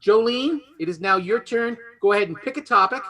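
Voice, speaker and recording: A man hosting a quiz show in a lively, partly improvised style, introducing and explaining as he goes. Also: high-pitched, fairly quick. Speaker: game show host.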